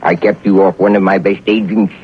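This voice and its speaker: An actor playing a suave, menacing, coolly boastful enemy spymaster in a 1940s radio drama. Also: male voice, low-pitched, flat delivery, a little fast, natural voice. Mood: smug. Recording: tinny, noisy vintage radio recording.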